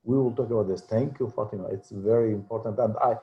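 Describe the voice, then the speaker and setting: A man's voice: on the low side, steady tone, fast, mellow, lo-fi sound. A man teaching a religious class in an informal, hesitant way, with frequent fillers. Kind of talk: informal lesson talk.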